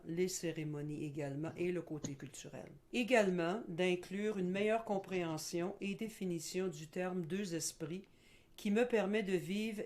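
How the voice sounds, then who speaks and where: Middle-aged woman, lowish mellow voice, slow careful talk with pauses. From Quebec. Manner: slow, measured, with frequent pauses and fillers. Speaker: guest speaker. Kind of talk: webinar presentation; scripted narration in the video part.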